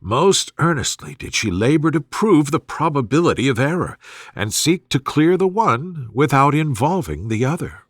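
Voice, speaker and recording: A man with a fairly high voice narrates a bedtime story in a soothing, literary manner. His intonation swings widely while the phrasing stays measured, and the sound is dry and close. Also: sing-song, no echo. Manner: measured, formal literary reading. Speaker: narrator of sleep stories.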